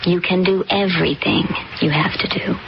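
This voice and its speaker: An actress playing a scheming young woman in a vintage radio drama, sharp and domineering. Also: fairly low pitch, fairly even intonation, moderate pace, creaky. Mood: commanding. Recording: dull old radio recording with hiss.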